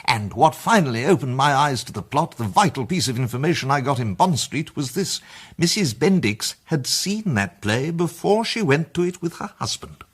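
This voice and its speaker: A man playing a confident amateur detective in a British radio drama, setting out his case in a deliberate, emphatic, theatrical way. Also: a little high, big pitch swings, slightly rough. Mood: emphatic, triumphant.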